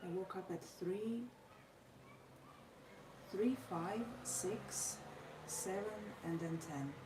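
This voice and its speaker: A tired woman from Croatia with a Croatian accent, chatting casually while she draws, speaking in measured, formal phrases with frequent pauses. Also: on the low side. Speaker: artist vlogger. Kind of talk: casual chat while drawing. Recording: roomy, distant mic with noisy ambience.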